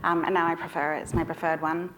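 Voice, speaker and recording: A woman's voice: low-pitched, level tone, fast talker, fairly bright tone, a little hiss or hum, rough recording. A woman with an Australian accent speaking lightly and chattily on a panel.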